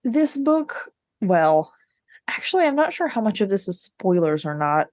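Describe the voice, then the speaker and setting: A woman chats casually about books as a podcast co-host. Her voice sits at an ordinary pitch and is recorded very dry. She is informal and wry, happy to throw in a blunt aside. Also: sing-song, a little slow, a little mellow, no echo. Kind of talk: casual book discussion chat.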